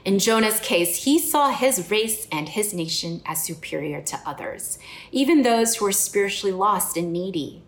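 A woman's voice: mid-range voice, expressive, normal speed. A woman from the United States preaching a sermon in an earnest, personal and reflective way. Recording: echoey room.

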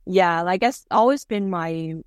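Female interview guest, native Cantonese speaker, lower register, chatty delivery, close dry sound. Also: unhurried, dry recording. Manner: chatty, frequent "like" and "you know". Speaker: interviewee, mortgage broker. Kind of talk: casual interview answers.

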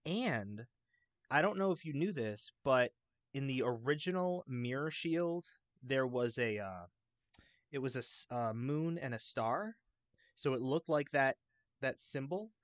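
A man's voice: mid-range voice, expressive, measured pace, dry recording. A man chatting as a podcast guest, explaining things in a loose, hesitant way that often trails off. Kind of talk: casual podcast chat.

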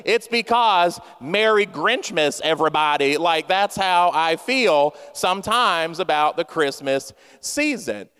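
A man's voice: fairly high pitch, expressive, a little slow, pushed and bright, clean tone. A man in his thirties preaching a humorous sermon, playful and self-mocking, talking straight to his congregation. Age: 30s. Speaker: pastor. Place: church sanctuary. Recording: long church hall reverb.

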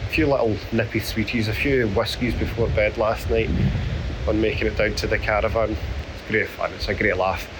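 A hoarse middle-aged Scottish man talks in a halting, self-deprecating ramble, his voice sitting fairly low. He records outdoors, with clear noise behind him. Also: steady tone. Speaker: podcast host. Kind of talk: casual spoken diary. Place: outdoors on a beach.

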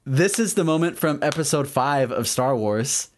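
A man with an average-pitched voice co-hosts an informal discussion. He recaps scenes in everyday language and acts out short lines from the characters along the way. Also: expressive, moderate pace, slight background noise. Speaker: podcast co-host. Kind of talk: casual chat, retelling scenes from shows and films.

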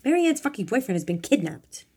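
A woman narrator plays a bossy hothead of a man in an audiobook. He shouts with raised pitch and force, exasperated and profane. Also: moderate pace, gravelly, breathy edge, heavily acted. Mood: frantic. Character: loud, hot-tempered, bossy man, profane ringleader. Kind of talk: audiobook character dialogue.

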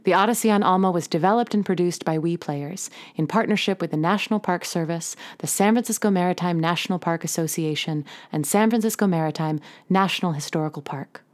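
Lower-pitched woman reading scripted credits. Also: a little fast, hardly any pauses. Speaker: podcast narrator reading closing credits. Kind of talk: scripted narration.

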